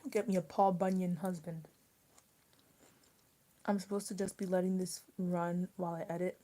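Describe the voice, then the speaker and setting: A young woman hosting a casual livestream while she eats dinner. She rambles and drops often into soft near-whispers. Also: fairly low pitch, level tone, normal speed. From US mid-Atlantic, rural border area. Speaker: livestream host. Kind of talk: casual chat with live viewers while eating.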